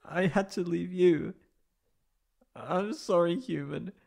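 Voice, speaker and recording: A man voicing a wounded, anxious young catboy in an emotional ASMR roleplay, halting and stammering tearfully. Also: high-pitched, vocal fry, a little mellow, exaggerated voice. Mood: distraught, pleading.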